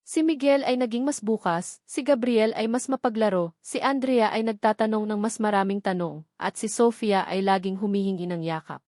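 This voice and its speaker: A woman's voice: medium pitch, normal speed, a little mellow, dry recording, studio quality. A woman reading a sentimental story as dramatic scripted narration.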